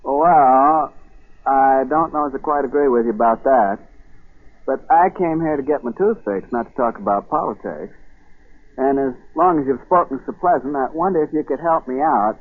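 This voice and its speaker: Casting: naive, stubborn colonial farmer, folksy and halting, mid-range voice, muffled vintage radio play recording. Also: male voice, mid-range voice, expressive. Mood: plain, puzzled. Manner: hesitant, folksy, hemming and hawing. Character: plain-spoken, stubborn, naive colonial country farmer, a man. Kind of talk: 1940s radio drama acting. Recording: muffled narrow-band 1940s radio broadcast.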